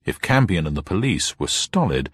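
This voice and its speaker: Low, husky male storyteller. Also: big pitch swings, moderate pace, no echo. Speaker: narrator and reader of a detective story. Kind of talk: dramatic scripted story narration.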